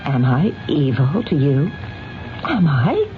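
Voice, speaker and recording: An actress playing a ruthless, possessive wealthy mistress in a 1970s radio drama, with imperious, precise diction. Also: female voice, low-pitched, very animated, takes it slowly, exaggerated voice. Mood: mocking, possessive. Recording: dull old radio recording, music behind.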